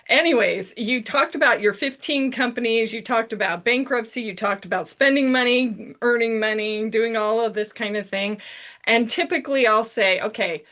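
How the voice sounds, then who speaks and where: A woman's voice: mid-range voice, a bit bright. A woman hosting a podcast, chatting with a guest in an upbeat way and laughing often. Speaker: podcast host. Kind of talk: casual interview chat.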